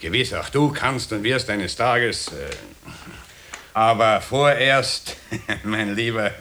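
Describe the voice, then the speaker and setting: An actor in a radio drama playing a smug, jovial Nazi functionary with an Austrian accent, backslapping and condescending. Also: male voice, on the low side, slow pace, bright, forceful tone, a little hiss or hum. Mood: patronising. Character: smug, jovial Nazi party functionary, self-important careerist. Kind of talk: 1960 radio drama acting.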